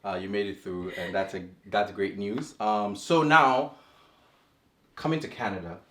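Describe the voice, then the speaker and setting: A man's voice: lowish voice, unhurried, a bit bright, reverberant. Rambling man hosting an interview. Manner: rambling questions with many fillers. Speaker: podcast host.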